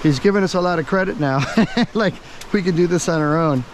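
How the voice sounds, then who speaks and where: A man from Florida narrates his hike into a handheld camera, outdoors beside a river and waterfall. He talks in a casual, easily impressed way, full of exclamations. Loud rushing water fills the background. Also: high-pitched. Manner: breaks into simple Spanish with locals. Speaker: vlogger filming a hike. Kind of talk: casual running commentary while hiking. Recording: rushing water noise behind.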